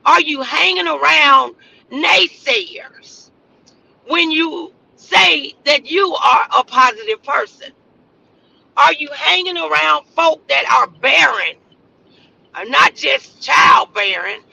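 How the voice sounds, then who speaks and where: Very high-pitched, bright-voiced man preaching motivation. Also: vocal fry, lo-fi sound. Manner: exhorting, repeats phrases for emphasis, rhetorical questions. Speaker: motivational show host.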